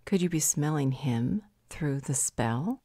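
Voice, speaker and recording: A woman acts out an earnest female werewolf in a fantasy audio drama. Her voice sits low and is dark and mellow, recorded close and dry. She speaks seriously, as someone worried about her duty. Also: lively intonation, slow pace, slightly rough, no echo, exaggerated voice. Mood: grave, probing. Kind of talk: fantasy audio roleplay acting.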